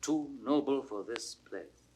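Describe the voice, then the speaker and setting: A man's voice: medium pitch, expressive, slow, a little mellow, a little hiss or hum. A man playing a dignified, observant older nobleman in classical Shakespearean verse, stately and formal. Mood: admiring.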